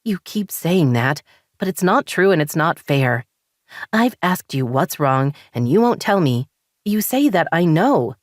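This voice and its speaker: A woman's voice: deep voice, expressive, normal speed, no echo, clean studio sound. A woman reading as a frustrated, heated wife. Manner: heated, insistent. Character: frustrated married woman, hurt and angry.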